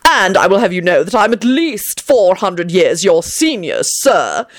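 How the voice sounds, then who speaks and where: A woman's voice: mid-range voice, sing-song, measured pace, no echo, studio quality. A woman voicing an ancient, haughty male wizard official who speaks icily and pompously. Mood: icy. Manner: icy, sneering, pompous.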